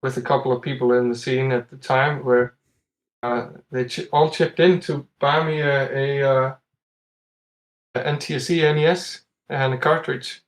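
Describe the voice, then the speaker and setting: A man's voice: medium pitch, fairly even intonation, moderate pace. Casual, easygoing Icelandic man chatting. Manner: chuckles often while talking. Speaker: podcast guest, competitive retro game player. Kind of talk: casual chat, telling stories.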